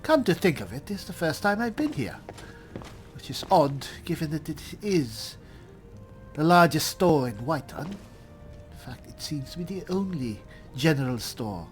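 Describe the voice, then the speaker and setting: A man voicing a cool, dry high elf spellsword, musing formally as he roleplays through a fantasy game. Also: sing-song, faint music behind. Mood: dry, polite. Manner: measured, formal, thinking aloud.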